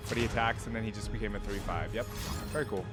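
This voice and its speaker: A man's voice: lowish voice, steady tone, fast. A man giving casual, informal reaction commentary and chatting to his viewers. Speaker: reaction video host. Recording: music behind the voice. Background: game trailer music.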